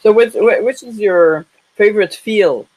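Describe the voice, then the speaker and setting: A woman from Canada with a French-Canadian accent hosting a casual live interview in a halting way, often repeating words. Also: mid-range voice, darkish tone, husky, poor audio. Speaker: live show host.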